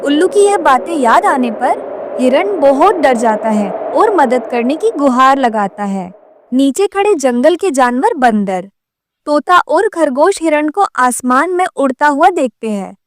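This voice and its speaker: A woman's voice: high voice, expressive, measured pace. A woman narrating a scripted children's moral story as a storyteller.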